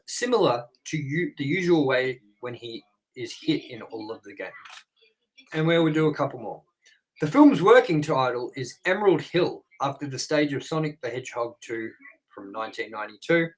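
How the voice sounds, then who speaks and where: Higher-voiced man reading movie notes. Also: poor audio. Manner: casual, reads lists, swears offhandedly. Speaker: co-host of a movie review show. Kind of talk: reading trivia and goofs from notes.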